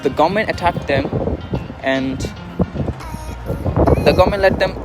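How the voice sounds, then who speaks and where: A man with a Bangladeshi accent vlogging live from a street, speaking in a halting, earnest, repetitive way. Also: a little high, loud music behind the voice. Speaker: vlogger and refugee activist. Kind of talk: live street vlog, informal. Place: outdoors at a busy roadside protest.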